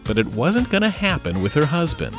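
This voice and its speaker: This is a man reading a scripted news feature with an animated, storytelling lilt. His pitch moves around a lot. A clear music bed plays beneath the voice. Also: brisk. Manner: lively storytelling delivery. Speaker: reporter, narrator. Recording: voice-over over music.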